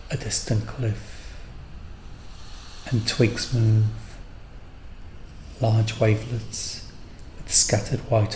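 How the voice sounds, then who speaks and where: Slow, deep-voiced man reading poetically. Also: a little mellow, roomy sound, a little hiss or hum, low-quality recording. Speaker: narrator. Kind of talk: poetic scripted narration.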